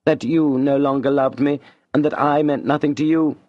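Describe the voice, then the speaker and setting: A cool, calculating young man who speaks in a measured, resolute way, read by a male narrator lifting his voice slightly. The recording is dry and a little dull, with a lo-fi sound. It is a storybook voice that stays composed. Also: a little high, steady tone, dry recording, voice pushed up, lightly acted. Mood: reproachful. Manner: earnest, measured, resolute delivery. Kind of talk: audiobook reading of a classic novel.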